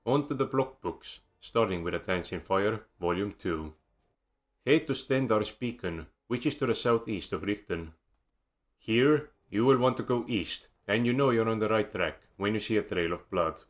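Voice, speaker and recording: A man with an Estonian accent reads a scripted game guide close to the mic. His voice sits very low, and his delivery is measured with a dry, wry edge. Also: expressive. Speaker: video game guide narrator. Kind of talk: scripted voice-over narration.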